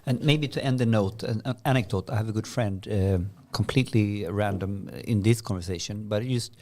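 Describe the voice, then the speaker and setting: A man's voice: on the low side, brisk, darkish tone. A man co-hosting a podcast, chatting enthusiastically, often repeating words and jumping in with questions. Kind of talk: casual conversation.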